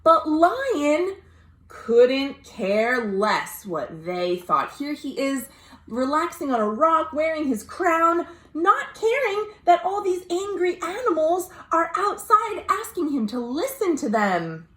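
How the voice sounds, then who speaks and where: An American woman reading a children's story aloud, expressive and dramatic, pausing to explain things simply. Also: sits high. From United States. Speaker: librarian, storytime host. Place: at home. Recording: roomy, echoey home recording.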